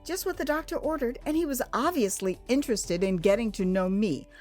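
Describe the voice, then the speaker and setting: An American woman narrating her own dramatized memoir in a theatrical, expressive way, savoring her phrases. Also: fairly high pitch, fairly quick, faint music behind. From Texas, United States. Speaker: author and narrator.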